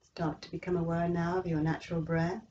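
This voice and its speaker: A woman leading a guided yoga relaxation meditation in a slow, measured, soothing way with long pauses. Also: lowish voice, little pitch movement, warm tone, dull audio. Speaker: yoga nidra instructor.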